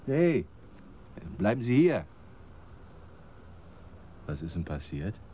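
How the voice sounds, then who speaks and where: A puzzled, wry male police officer in a radio crime play. His voice is very low and dark, with slow delivery and big swings in pitch. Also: very animated, slightly rough, full character voice. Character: puzzled, wry patrol policeman on night duty. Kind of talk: German radio crime drama acting.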